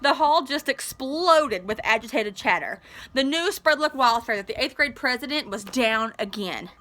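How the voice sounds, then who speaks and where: A woman teacher reading a story aloud expressively, with an animated, informal manner. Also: high voice, normal speed. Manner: animated, informal asides to listeners.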